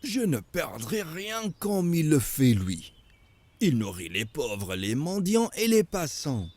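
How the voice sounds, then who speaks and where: An actor playing an expressive, curious storyteller in a children's religious cartoon. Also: male voice, a little high, slow pace, dry recording, full character voice. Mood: curious, envious. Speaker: narrator and questioner.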